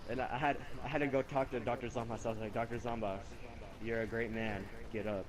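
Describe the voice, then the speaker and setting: A man's voice: medium pitch, fairly even intonation, quick pace. A young man improvising a casual, rambling story over video game footage, earnest and repetitive as he goes. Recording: noisy home game-capture microphone.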